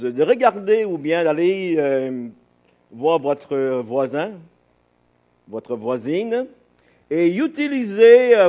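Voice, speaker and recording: Higher-voiced man preaching, halting delivery. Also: a little mellow. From Barbados. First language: English. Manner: halting, searching for words. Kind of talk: informal sermon to a congregation.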